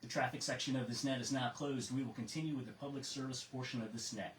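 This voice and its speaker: An American man acting as ham radio net control, reading procedures in a measured way with casual asides. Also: medium pitch, steady tone. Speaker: amateur radio net control operator and host. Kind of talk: reading a net script and chatting with check-ins. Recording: roomy, distant mic with faint noise.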